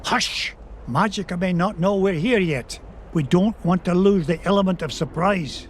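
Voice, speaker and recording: A man voicing an old, gruff Scottish duck tycoon who is blustery and protective of his nephews. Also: high voice, normal speed, a little hiss or hum, close to an everyday voice. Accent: put-on Scottish. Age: older. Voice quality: brusque, blustery. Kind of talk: video game cutscene acting.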